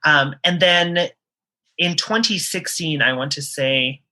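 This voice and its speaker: A woman's voice: sits low, slow pace, sharp tone. A woman guest on a podcast interview, speaking earnestly with many um fillers and restarts.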